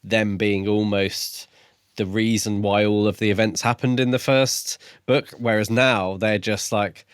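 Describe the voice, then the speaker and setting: A man co-hosting a podcast, discussing a book casually in measured, tentative phrases. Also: lowish voice, fairly even intonation, clean tone, dry recording, clean studio sound. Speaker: podcast co-host.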